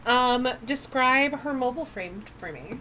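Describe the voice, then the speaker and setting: Bright-voiced woman running a game. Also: high-pitched, animated, a little slow, a little hiss or hum. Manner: inquisitive, prompting questions. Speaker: game master. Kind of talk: casual tabletop role-play chat.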